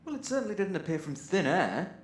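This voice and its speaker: A man's voice: high voice, very animated, brisk, echoey room, faint music behind. A man playing an irritable, sardonic robot crewman in an audio drama, theatrically exasperated and sarcastic. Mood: snide. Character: irritable sardonic male robot crewman keeping a ship's log.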